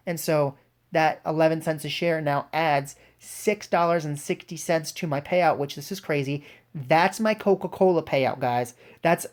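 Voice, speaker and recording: A woman's voice: deep voice, a little mellow. A woman with a cold gives casual, enthusiastic vlog commentary as the host of an investing channel. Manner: enthusiastic, informal. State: congested with a cold.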